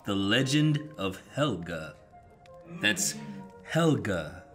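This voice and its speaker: A man's voice: fairly low pitch, sing-song, drawn-out words, loud music behind the voice. A man introducing himself casually as an improv comedy actor.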